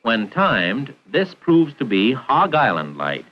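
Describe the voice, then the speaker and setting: Lively-pitched American man, vintage film narrator. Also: takes it slowly. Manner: formal, precise, instructional. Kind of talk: scripted 1940s training film narration. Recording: dull vintage 1940s film soundtrack.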